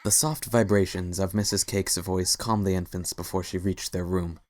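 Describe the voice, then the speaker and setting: A man's voice: low voice, steady tone, moderate pace, darkish tone. A man narrating a scripted story as a dramatic reading.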